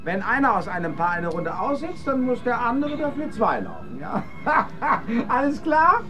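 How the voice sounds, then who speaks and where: A man's voice: very high voice, big pitch swings, measured pace, exaggerated voice. An actor playing a brash, cajoling male master of ceremonies in a radio drama, pushy and patronising. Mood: cajoling, bossy.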